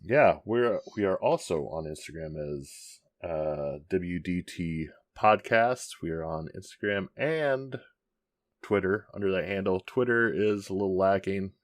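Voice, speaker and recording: Guy co-hosting a podcast, kinda low voice, lots of ums, dry room. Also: measured pace, no echo. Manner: rambling, many ums and restarts. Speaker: podcast co-host. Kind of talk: casual chat.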